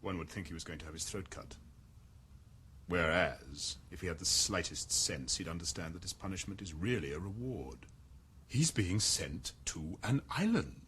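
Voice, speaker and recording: An actor with a British accent playing an urbane, powerful male world controller who speaks in a measured, wry, condescending way, in a radio drama. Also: low-pitched, expressive, a bit bright, a little hiss or hum. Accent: British English.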